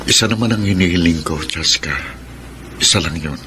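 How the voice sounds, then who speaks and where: A man's voice: low-pitched, normal speed, noisy background, close to an everyday voice. A man acting a hopeful, lovestruck young suitor in a Filipino radio drama, sentimental and pleading, with florid earnest delivery. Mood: earnest, tender. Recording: radio drama with music underneath.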